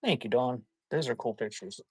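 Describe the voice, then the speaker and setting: A man chatting casually in a folksy, halting way as he hosts a live sale and shows items. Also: mid-range voice, husky, no room sound. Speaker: live auction seller hosting a stream. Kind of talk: casual rambling chat while showing items for sale.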